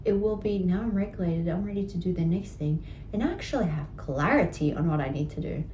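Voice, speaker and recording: Mellow-voiced woman coaching, echoey room. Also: lowish voice, animated, fairly quick, a little hiss or hum. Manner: encouraging, repeats "right?" and "guess what". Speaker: healing practitioner. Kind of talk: coaching session.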